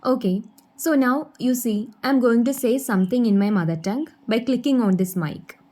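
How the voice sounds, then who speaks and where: A woman's voice: fairly high pitch, normal speed, dark tone, roomy sound. A woman whose first language is Malayalam, teaching an English lesson and patiently demonstrating an app step by step. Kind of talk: video lesson.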